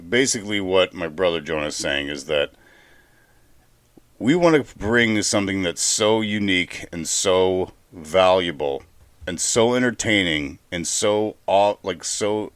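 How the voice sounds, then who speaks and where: A man's voice: sits low, a bit bright, no echo. A man co-hosting a casual podcast, speaking earnestly and repeating himself as he searches for words. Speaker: podcast co-host.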